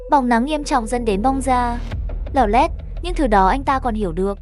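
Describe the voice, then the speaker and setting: Woman, horror story narrator, high voice, scripted delivery, music behind. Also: moderate pace.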